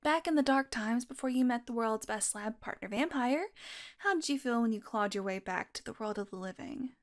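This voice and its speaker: A woman's voice: on the high side, expressive, brisk, natural voice. A woman acting a playful, sardonic, curious young vampire in an intimate audio roleplay. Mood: playful, wry. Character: teasing, curious young female vampire doing experiments.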